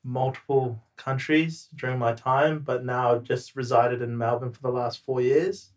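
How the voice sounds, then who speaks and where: A young man from New Zealand talks as a guest on a casual podcast. He speaks in a relaxed, hesitant way, full of ums, and laughs easily. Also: medium pitch, normal speed.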